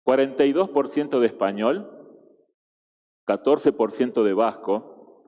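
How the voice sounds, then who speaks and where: A man's voice: medium pitch, measured pace, warm tone, long reverb tail, dull audio. A man from Argentina with an Argentine Spanish accent, giving a chatty sermon full of restarts and tag questions. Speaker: guest preacher. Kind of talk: conversational sermon.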